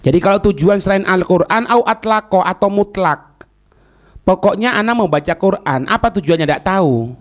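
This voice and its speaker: A man giving an Islamic lesson, reading Arabic phrases and explaining them in deliberate, halting phrases. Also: high voice. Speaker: Islamic teacher.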